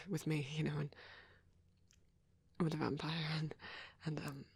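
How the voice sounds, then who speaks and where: A man playing a shy, devoted vampire boyfriend in an intimate ASMR roleplay, gentle, hesitant and stammering, with a breathy voice. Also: on the high side, flat delivery, takes it slowly, no room sound, heavily acted. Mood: shy, anxious. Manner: hesitant, stammering, soft and rambling.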